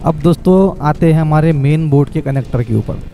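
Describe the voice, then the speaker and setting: Man, repair technician, step-by-step tutorial delivery; very dark tone, faint music underneath. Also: a little high, brisk. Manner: explains step by step, often says "friends" to viewers.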